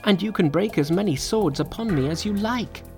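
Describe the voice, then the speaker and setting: High-pitched cocky trickster monkey, put on by a narrator. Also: animated, normal speed, over music, natural voice. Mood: cocky defiant. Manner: taunting, boastful. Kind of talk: storybook character voice.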